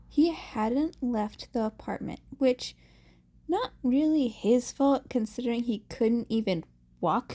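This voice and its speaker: Woman narrator, slow pace, soft mellow tone, slightly high pitch, scripted story reading. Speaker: audiobook-style fan fiction reader.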